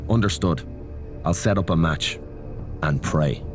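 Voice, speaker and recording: An actor playing a cautious, earnest wrestling mentor in a video game cutscene, speaking in a grave, measured way. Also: male voice, extremely low pitch, music in the background. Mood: wary. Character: grave, cautious male wrestling mentor wary of dark power.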